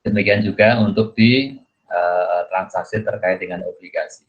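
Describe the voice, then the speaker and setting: A man giving an explanatory lecture as a teacher, in a halting, repetitive manner with frequent checking tags. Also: sits low, fairly even intonation. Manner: halting, repetitive, punctuates phrases with a checking tag. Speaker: accounting lecturer. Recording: dull, muffled lo-fi audio.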